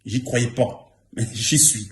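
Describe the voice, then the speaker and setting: A man's voice: medium pitch, slow, slight background noise. Earnest man in his fifties, roomy sound. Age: 50s. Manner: earnest, repeats himself for emphasis. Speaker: former trainee giving a testimonial. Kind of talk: spoken testimonial. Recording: roomy echo.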